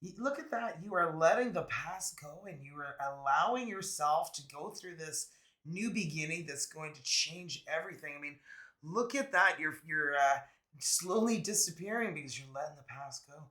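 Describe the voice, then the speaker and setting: Bright-voiced man, slightly raspy from a cold. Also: a little high, lively intonation, moderate pace. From Canada. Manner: laid-back, chatty, laughs often. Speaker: tarot reader. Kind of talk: casual tarot reading.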